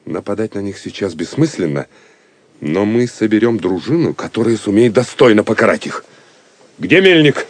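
An actor playing a bold, decisive outlaw archer chief in an audio drama, giving clipped, authoritative orders. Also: male voice, lowish voice, animated, normal speed, no echo. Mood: commanding.

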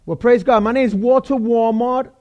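A man's voice: very high-pitched, fairly even intonation, normal speed, darkish tone, dull audio. A middle-aged man from the Bronx with a New York accent, preaching casually as a guest pastor, joking and restarting often. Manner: chatty, joking, many fillers and restarts. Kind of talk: casual sermon.

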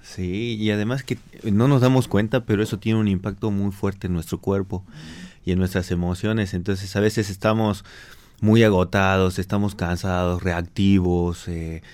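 Male meditation teacher as radio guest, slightly low pitch, dry close sound, explanatory tone. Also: fairly even intonation, moderate pace, a little mellow, no room sound. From Argentina. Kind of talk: explanatory conversational interview.

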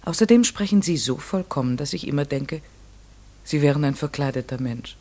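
A man's voice: a little high, animated, a little fast, darkish tone. An actor playing a curious, courteous, methodical man in a classic radio play, speaking in a careful, articulate and inquisitive way. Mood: polite, tentative. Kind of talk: classic German radio play, narration and dialogue.

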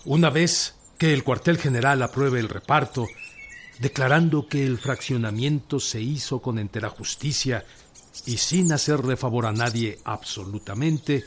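An actor playing a resolute revolutionary general from rural Mexico in a radio drama, speaking solemnly and deliberately with a Mexican accent. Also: male voice, mid-range voice, a little hiss or hum, close to an everyday voice. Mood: solemn, proclaiming. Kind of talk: radio drama acting, proclamation and dialogue.

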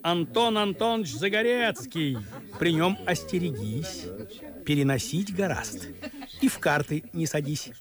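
An actor playing a blunt, good-natured grumbler of a society gentleman in a radio play, frank and mocking. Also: fairly high pitch, animated, unhurried, a crowd in the background. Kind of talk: theatrical radio play acting.

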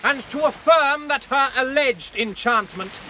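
An actor playing an imposing young knight in a radio drama, loudly proclaiming in formal, declamatory style. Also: male voice, very high voice, lively intonation, slow, slight background noise, heavily acted. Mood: commanding challenge.

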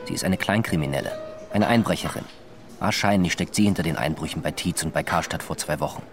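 A stern, by-the-book male officer in a radio drama. His voice is low and insistent, with music clearly playing behind it. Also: fast. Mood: skeptical, stern. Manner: skeptical, insistent. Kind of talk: radio play dialogue.

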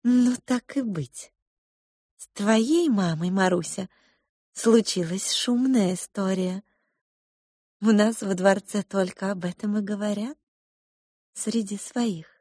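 A fretful, melodramatic royal cook voiced by a woman lets her words trail off in hushed, drawn-out sighs. The delivery is unhurried, and the sound is dry and close to the mic. It reads like a character in a storybook. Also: mid-range voice, no echo, pitched above the performer's own voice. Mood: flustered.

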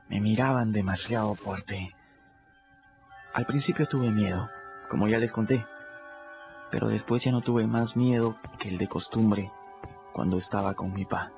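An actor playing a scrappy, candid boy who narrates his adventures in a radio drama, confiding in the listener. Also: on the low side. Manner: conversational, confiding, addresses listeners directly. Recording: dull lo-fi radio drama with music.

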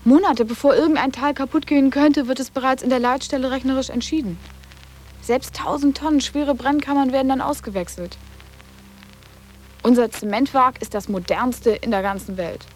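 High-voiced, challenging schoolgirl, played by a woman. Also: normal speed, faint music behind, natural voice. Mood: matter-of-fact. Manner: matter-of-fact, pointed questioning. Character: sarcastic, challenging teenage schoolgirl, coolly matter-of-fact. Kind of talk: literary radio drama dialogue.

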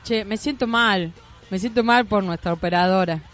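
An Argentine woman hosting a studio radio show, chatting in a rambling way with quick asides. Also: mid-range voice, soft background music. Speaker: radio host. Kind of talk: casual studio radio chat. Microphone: studio microphone.

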